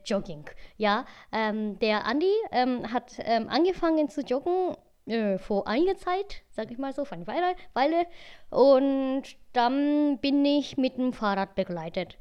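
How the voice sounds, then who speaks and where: Japanese woman co-host, clear smooth voice, slightly high, halting German. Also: lively intonation, darkish tone, muffled recording. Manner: hesitant, searching for German words. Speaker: podcast co-host. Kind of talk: casual podcast chat.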